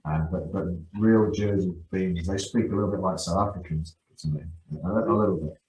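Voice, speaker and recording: Middle-aged guy, really low voice, keeps restarting, gets into it, roomy echo around him. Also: steady tone, a little fast, a little mellow. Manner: enthusiastic, with frequent restarts and repeated words. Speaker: guest, youth football coach. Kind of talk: casual podcast interview. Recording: echoey room.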